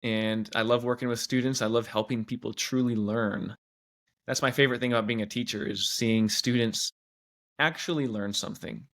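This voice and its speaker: A man's voice: mid-range voice, fairly even intonation, normal speed, creaky, a bit bright. A man in his thirties from Washington State, a teacher answering interview questions earnestly and with many restarts. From Washington State, USA. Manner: earnest, many restarts and "you know" asides. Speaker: teacher and guest.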